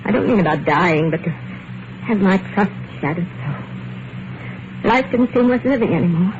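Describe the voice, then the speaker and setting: An actress playing an eerily calm, wounded and quietly vengeful wife in an old radio thriller, measured and wistful. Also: female voice, fairly low pitch. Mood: wounded, reproachful. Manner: measured, wistful, dramatic pauses. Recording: muffled, very noisy old radio recording.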